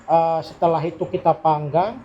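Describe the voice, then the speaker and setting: Middle-aged male interviewee, slightly high-pitched, unhurried and halting explainer, noisy ambient recording. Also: level tone, muffled recording. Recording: noisy recording with vehicle sounds behind.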